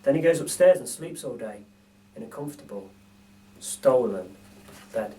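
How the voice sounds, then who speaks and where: Animated man reading a children's story. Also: mid-range voice, moderate pace. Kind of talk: expressive children's story narration. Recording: distant microphone.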